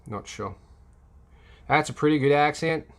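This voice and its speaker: A man's voice: on the high side, lively intonation, unhurried, vocal fry. A man talks casually to his live stream, reading out chat comments and answering them. He rambles and restarts often, filling gaps with "um" while sorting out his thoughts. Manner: rambling, many fillers and restarts; reads and replies to chat comments. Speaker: livestream host answering chat.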